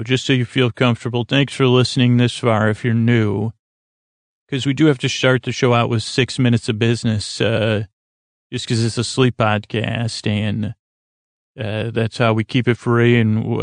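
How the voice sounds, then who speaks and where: A man hosting a sleep podcast in a lulling, soothing way, rambling unscripted, stumbling and trailing off mid-thought with gentle self-deprecation. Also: mid-range voice, little pitch movement, normal speed, dry recording, clean studio sound. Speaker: podcast host.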